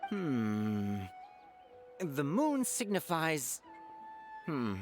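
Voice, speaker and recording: A woman's voice: deep voice, very animated, very slow, full character voice. A woman playing a modest, hesitant amateur fortune-teller in a video game, musing as she goes. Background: game music.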